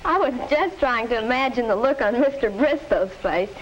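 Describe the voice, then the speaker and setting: A young woman acting as a headstrong, teasing American high-school girl in a 1950s classroom film. Her voice sits fairly high. Faint hiss runs under the old film sound. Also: animated, normal speed, muffled recording, natural voice. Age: teenager. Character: stubborn, teasing teenage daughter in high school.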